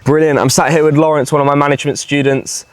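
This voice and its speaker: A man hosting a casual interview, asking quick, upbeat questions in a villa. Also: on the high side, level tone. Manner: upbeat, quick encouraging prompts. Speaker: host and sales mentor. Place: villa, indoors.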